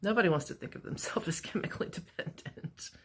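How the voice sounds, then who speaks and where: A middle-aged woman with a raspy voice, talking candidly and a bit ramblingly in a casual personal vlog from her office at work. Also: fairly low pitch, fast, a bit bright. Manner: candid, rambling, self-deprecating. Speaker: vlogger.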